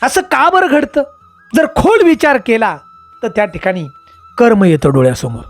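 Animated storyteller over music. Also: mid-range voice, normal speed, no echo. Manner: emphatic, repeats phrases, addresses listeners as friends. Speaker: storyteller, channel host. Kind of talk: moral storytelling narration.

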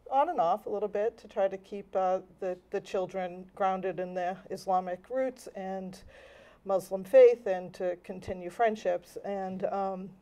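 An older woman speaking as a guest in a studio interview, soft-spoken and reflective. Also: medium pitch, a little slow. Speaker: guest, volunteer. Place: TV studio set.

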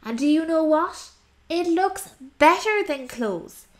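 A woman's voice: very high-pitched, animated, slow pace. A woman reading a rhyming children's story aloud as narrator, expressively and with dramatic emphasis.

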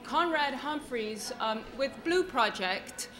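A woman's voice: high voice, lively intonation, slow. A woman speaking publicly at a launch event as an author, in an earnest, grateful and emphatic manner. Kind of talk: public speech at a book launch. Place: event at an institutional venue, live speech.

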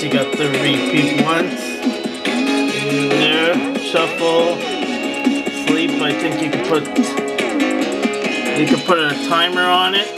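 A man casually demonstrating a product hands-on, narrating step by step in a plain, halting way. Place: indoors, close tabletop setup. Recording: music from a boombox behind the voice. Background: loud music from the boombox.

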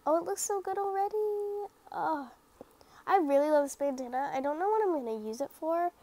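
A young woman with a high voice talks through her craft project in a loose, rambling voice-over. She is a little stuffy from a cold and keeps doubting her work, filling her sentences with "like" and "um.". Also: animated, normal speed, dark tone. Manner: rambling, self-critical, lots of "like" and fillers. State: slightly stuffy from the sniffles. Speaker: craft vlogger. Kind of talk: casual voice-over narration of a craft video.

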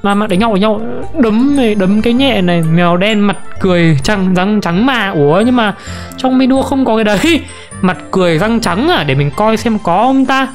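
Young female gamer, medium pitch, chatty and playful, repeats words in bursts, music bed. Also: expressive, moderate pace. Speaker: gaming video creator. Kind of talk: casual game commentary to viewers.

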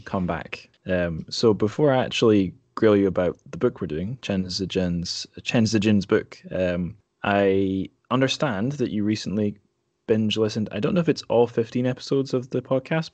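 Male podcast host, lower-pitched, wry and understated, casual interview chat, close dry sound. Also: moderate pace, darkish tone, dry recording.

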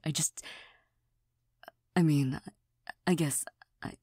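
A prickly young girlfriend who hides her tenderness, as a woman plays her in an audio roleplay. Her voice is low and very husky, and she half-whispers slowly with little stammers and shy laughs. The sound is dry and close, with no room around it. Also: darkish tone, dry recording, heavily acted. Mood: shy, hesitant. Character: proud, touchy young girlfriend hiding tenderness. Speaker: voice actor in a listener roleplay.

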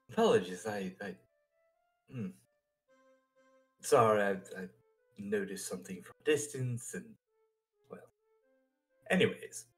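Man playing a slow, troubled fantasy adventurer. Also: fairly low pitch, fairly bright tone, full character voice. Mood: hesitant, uneasy. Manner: hesitant, formal, brooding. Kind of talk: improvised roleplay audio drama.

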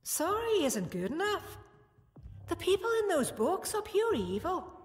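A woman's voice: very high-pitched, sing-song, a little slow, exaggerated voice. A woman playing a stern prison guard. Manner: stern and scolding. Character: stern female prison security guard, young adult. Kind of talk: fan audio drama acting. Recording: long reverb with music behind.

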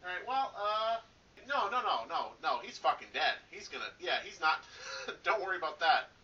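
A muffled, lo-fi, phone-like recording of a man putting on a nervous pizzeria employee for a horror-game parody. His voice is fairly high and quite bright, with very little room sound. He rambles haltingly, hesitating as he offers hollow reassurance to a doomed night guard. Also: sing-song, husky, exaggerated voice. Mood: muttering, callous. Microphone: cheap replacement microphone. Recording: dull lo-fi cheap microphone, telephone-like.

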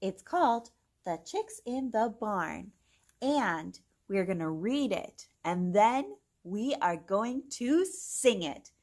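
A young adult woman hosting a children's read-along, reading a picture book aloud in an exaggerated, animated manner for small children. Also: medium pitch, slow. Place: small indoor room with bookshelf.

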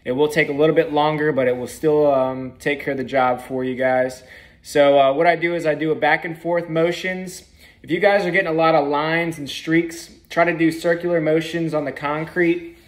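A young man in his twenties talks straight into a handheld phone from an indoor room, explaining how he runs his pressure washing jobs. His voice sits slightly high for a man, and his delivery is easygoing and unpolished, dotted with ums and uhs. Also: normal speed. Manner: relaxed, plain-spoken, frequent ums and uhs. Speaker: pressure washing business owner. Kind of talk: casual how-to vlog. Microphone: phone camera at arm's length.

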